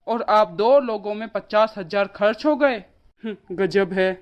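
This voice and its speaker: A woman's voice: medium pitch, a little slow, dull audio, lightly acted. A woman voicing a selfish adult son in a cartoon story, self-justifying and doting on his wife, on band-limited audio. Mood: irritated.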